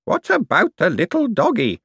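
Man doing a tiny, prim, high-voiced old lady. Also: wildly swooping pitch, a bit bright, slightly rough, no room sound, clean studio sound, exaggerated voice. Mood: slyly innocent. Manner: delicate, measured, falsely innocent. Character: Aunt Careful, tiny prim scheming elderly lady.

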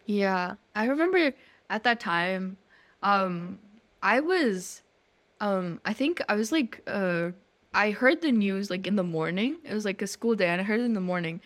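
A woman's voice: medium pitch, lively intonation, measured pace, a bit bright. Chatty young woman from Nepal. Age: young adult. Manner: chatty, many fillers and restarts. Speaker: co-host. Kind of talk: casual chat.

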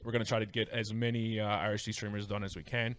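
A man's voice: on the low side, little pitch movement, quick pace, fairly bright tone, no echo. Podcast host, man, dry close sound. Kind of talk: casual interview chat.

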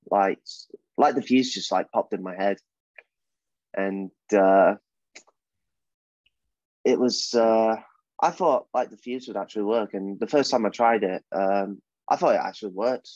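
A young British man answers questions in a casual podcast, hesitant and full of "um"s and "like"s. His voice sits a little low and is recorded dry and close, with a dull, lo-fi tone. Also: a little mellow, no room sound. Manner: hesitant, many fillers and restarts. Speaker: wrestler guest.

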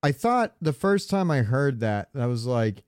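A man's voice: medium pitch, sing-song, a little slow, a little mellow, a little hiss or hum. A man co-hosting a podcast in casual comedic chat, rambling through jokey, sweary stories. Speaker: podcast co-host.

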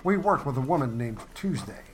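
A woman playing a deadpan, hard-boiled office worker who talks like a 1940s radio detective in a comedy. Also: rumbling low, a little fast, over music. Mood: deadpan narrating. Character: deadpan hard-boiled cop-show parody office worker Joe Friday.

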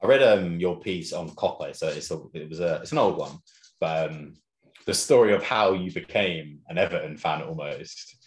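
A man's voice: low-pitched, moderate pace. A man hosting a casual interview, rambling through his questions and laughing easily. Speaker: podcast host. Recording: roomy echo.

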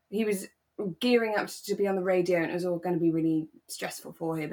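Woman, British accent, slightly low pitch, podcast co-host, relaxed conversational discussion. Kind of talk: relaxed chatty discussion of a radio drama.

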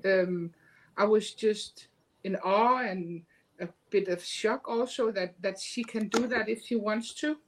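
A woman sharing a personal account in conversation as a guest, haltingly, searching for her words. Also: mid-range voice, low-quality recording. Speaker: guest, medium.

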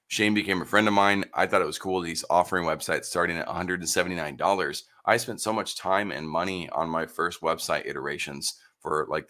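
A man hosting a live business podcast, hoarse from losing his voice, rambling and upbeat through his intro and sponsor mentions. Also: low voice, a bit bright. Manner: rambling, upbeat, self-deprecating. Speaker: podcast host.

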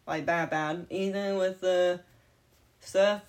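A woman's voice: on the low side, takes it slowly. Lispy woman, late twenties. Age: late 20s. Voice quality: slight lisp. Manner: animated, jokey, swings loud and quiet. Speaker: podcast co-host. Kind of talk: casual chat.

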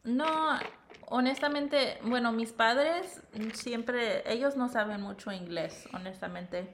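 A young woman from California co-hosting a podcast, chatting in a relaxed, unhurried way. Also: fairly high pitch, a little hiss or hum. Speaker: podcast co-host. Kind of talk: casual chat.

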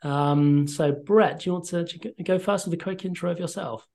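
A man's voice: fairly high pitch, normal speed, gravelly, darkish tone. Polite male podcast host. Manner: polite, brief prompts with ums. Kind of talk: podcast interview.

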